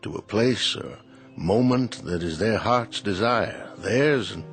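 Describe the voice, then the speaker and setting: An actor playing a young husband in a radio drama, speaking calmly, tenderly and soothingly. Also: male voice, fairly low pitch, creaky, faint music behind. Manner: calm, tender, slow and soothing. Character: gentle young husband, soldier's calm.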